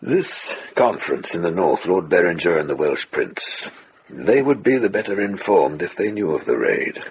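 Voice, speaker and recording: An actor playing a stern, devout abbot who gives orders with solemn authority in a radio drama. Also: male voice, low voice, very animated, normal speed, husky, full character voice. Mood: businesslike command. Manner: solemn, commanding.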